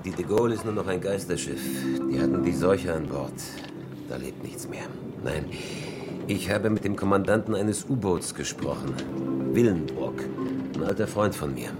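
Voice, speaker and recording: An actor playing a grave, resigned middle-aged naval officer among survivors in a radio drama, sober and matter-of-fact. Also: male voice, deep voice, animated, moderate pace, loud music behind the voice.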